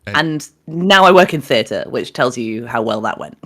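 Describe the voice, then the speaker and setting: Loud, excitable young woman. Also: rumbling low, moderate pace. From England. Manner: loud, excitable, with frequent laughs. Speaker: podcast co-host. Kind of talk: casual podcast chat.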